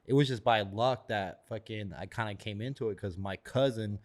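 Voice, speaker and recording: A man in his thirties, raised in Florida, telling stories as a podcast guest in a rambling way, full of fillers and swearing. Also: lowish voice. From Florida, born in Venezuela. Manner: rapid, rambling, heavy on "like" and swearing. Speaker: guest, tattoo artist. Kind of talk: casual storytelling.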